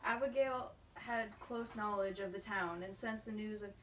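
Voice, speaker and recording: An anxious, fearful colonial minister acted by a woman in a puppet play, pleading with his betters. The pitch is average. The recording is slightly distant, with faint noise behind it. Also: moderate pace, a bit bright, lightly acted. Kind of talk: amateur puppet show acting of a stage play. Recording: distant mic with background room noise.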